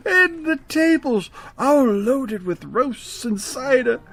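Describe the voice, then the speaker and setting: A man playing a frightened, remorseful elderly miser in a radio drama, stammering and halting with theatrical emotion. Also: very high-pitched, sing-song, creaky, fairly bright tone, slightly rough, heavily acted. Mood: delighted, nostalgic.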